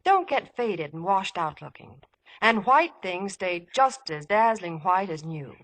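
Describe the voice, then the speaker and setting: A woman's voice: mid-range voice, big pitch swings, measured pace, fairly bright tone, dry recording. A woman delivering scripted advertising patter as a 1950s radio spokeswoman.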